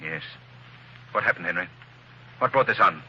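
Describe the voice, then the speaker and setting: A cool, witty gentleman detective. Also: deep voice, expressive, normal speed, highs cut off, slight background noise, heavily acted. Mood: calm. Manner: cool, dry humor. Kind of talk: 1940s radio acting.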